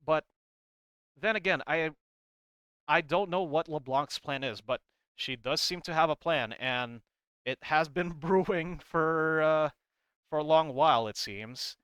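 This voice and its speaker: A man with a bright, crisp voice talks through game lore in a casual explainer style. His delivery rambles and hedges as he works through his commentary. The sound is dry, with hardly any room on it. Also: on the high side, a little slow, no echo. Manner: rambling, hedging, reads comic text aloud between comments.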